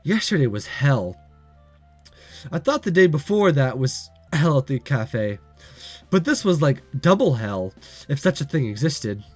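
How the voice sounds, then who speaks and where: Chatty man with lively pitch. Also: unhurried. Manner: joking, chatty asides. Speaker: game commentator. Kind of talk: reading and commenting on a visual novel. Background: soft game music.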